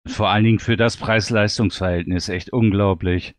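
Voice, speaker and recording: Low, clear-voiced enthusiastic man. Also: steady tone, moderate pace. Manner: enthusiastic, often repeats "wirklich". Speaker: podcast host. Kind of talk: casual panel chat reviewing audio dramas.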